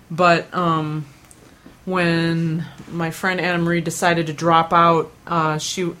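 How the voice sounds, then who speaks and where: A woman's voice: on the low side, fairly bright tone. A young woman rambling through casual stories, with lots of fillers, restarts and self-deprecating asides. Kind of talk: casual chat.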